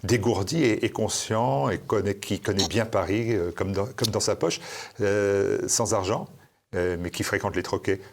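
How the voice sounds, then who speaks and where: Low husky male interviewer. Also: moderate pace. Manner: prompting, leading questions, hesitant fillers. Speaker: interviewer host. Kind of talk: interview questions.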